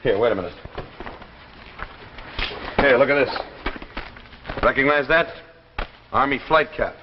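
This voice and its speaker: A man's voice: medium pitch, slow pace, lightly acted. An American man playing an earnest, obsessed grounded Army pilot in 1950s television drama style, insistent and emphatic. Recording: muffled, noisy, echoey 1950s broadcast recording.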